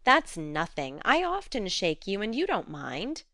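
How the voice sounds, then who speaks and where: A woman's voice: medium pitch, big pitch swings, a bit bright, no echo, clean studio sound. A woman voicing a spirited, frank young woman. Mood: coaxing. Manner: coaxing, brisk and direct.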